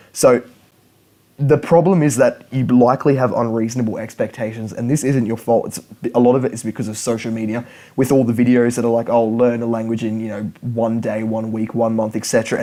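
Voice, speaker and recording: A man's voice: mid-range voice, a little mellow. A young man who speaks English natively, explaining language learning in a casual video lesson, often repeating and restarting words as he goes.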